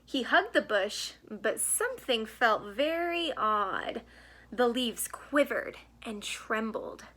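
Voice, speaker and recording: A woman reading a picture book aloud to children in an expressive storytelling style. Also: a little high, slow pace, slight background noise. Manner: asks the young listeners questions. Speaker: storybook reader.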